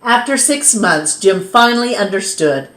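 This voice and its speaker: A woman reads a children's story aloud as a storytime host, in an expressive, measured way that dramatizes key words. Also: medium pitch. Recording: roomy, echoing sound.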